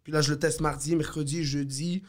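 A man's voice: fairly high pitch, steady tone, brisk. A man from Morocco, native Arabic speaker, with a Moroccan French accent, chatting as a comedian guest in a laid-back, upbeat way. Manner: laid-back, upbeat, peppers speech with "bro" and "tu comprends". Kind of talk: casual chat.

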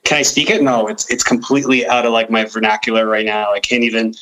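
A man from Guyana who grew up partly in Canada, talking reflectively as an interview guest and pausing to find words. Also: on the low side, little pitch movement, sharp tone, rough recording. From Guyana, moved to Canada as a child. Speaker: podcast guest.

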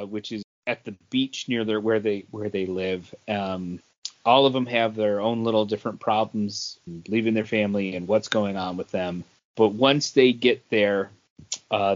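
A man's mid-pitched voice in a dry, slightly dull recording. He chats casually as a podcast co-host, halting and rephrasing as he works through his thoughts. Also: dry recording. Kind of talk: casual comic book discussion.

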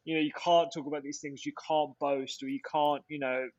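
A man's voice: a little high, little pitch movement, brisk, a little mellow, no echo, muffled recording. A man being interviewed as a guest, talking reflectively with many restarts and fillers. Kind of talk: reflective casual interview talk.

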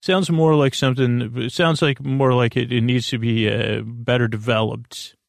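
A man hosting a bedtime podcast, talking straight to the listener in a meandering, halting way that often trails off and doubles back. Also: medium pitch, steady tone, no room sound, clean studio sound. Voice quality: gravelly, creaky. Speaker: podcast host. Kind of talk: rambling bedtime podcast monologue, addressed to the listener.

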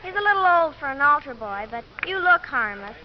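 A woman's voice: high voice, sing-song, a little fast. A woman playing a hustler's blunt, wisecracking young daughter in a 1950s radio drama. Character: brash, streetwise young daughter of a pool hustler. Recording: muffled, hissy old 1950s radio recording.

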